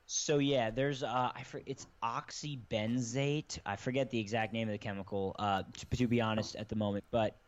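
A man's voice: mid-range voice, steady tone, normal speed, few pauses. A man explaining things in a rambling, casual way as a podcast guest, often correcting himself mid-sentence. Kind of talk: casual conversation. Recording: slightly dull lo-fi sound.

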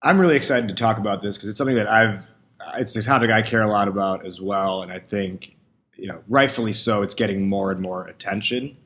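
A man's voice: lowish voice, fairly quick, fairly bright tone. A man hosting an interview, friendly and engaged as he asks his guest questions. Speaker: interview host. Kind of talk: conversational interviewing.

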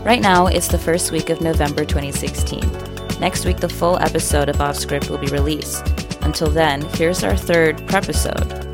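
A woman's voice: low voice, normal speed, music in the background. A woman hosting a documentary podcast, reading a scripted narration. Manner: even, read-from-script delivery. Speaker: podcast host.

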